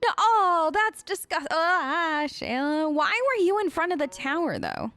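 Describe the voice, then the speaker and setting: A woman hosting a let's play, improvising in-character dialogue in a chatty, expressive, reactive way. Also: very high-pitched, a little slow, faint music behind. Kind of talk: improvised in-character role-play over a game.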